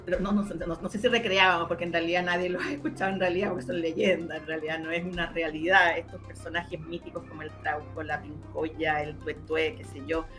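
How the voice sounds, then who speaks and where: A woman's voice: fairly low pitch, fairly even intonation, brisk, hardly any pauses, a bit bright, soft background music. A woman with a Chilean accent giving casual interview answers, explaining eagerly and often repeating words as she searches for the next one. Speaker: interviewee, radio drama maker.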